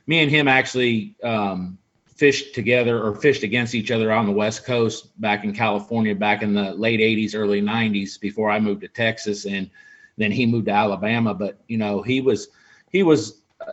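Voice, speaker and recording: A man's voice: on the low side, a bit bright, dull audio. Rambling forties man on a video call. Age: 40s. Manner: rambling, friendly, full of fillers. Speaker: pro angler guest. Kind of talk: casual chat on a video call. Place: room in a house. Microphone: video call webcam.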